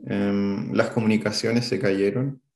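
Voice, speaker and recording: Slow, gravelly Chilean man. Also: on the low side, flat delivery, darkish tone, reverberant. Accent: Chilean Spanish. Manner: halting, hesitant, many fillers and restarts. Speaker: interviewee. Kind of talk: recalling a past event in an interview.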